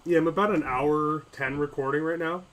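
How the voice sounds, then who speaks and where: A man hosting a casual podcast chat, speaking haltingly with many pauses and ums. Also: on the high side, a crowd in the background. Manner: hesitant, halting, lots of ums. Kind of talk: casual chat over a call.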